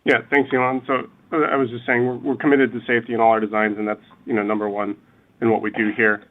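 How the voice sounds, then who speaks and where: Fast-talking man on a tinny phone line. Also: medium pitch, level tone. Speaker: company engineering executive. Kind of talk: unscripted answer on an earnings call. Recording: narrow, radio-like phone conference line.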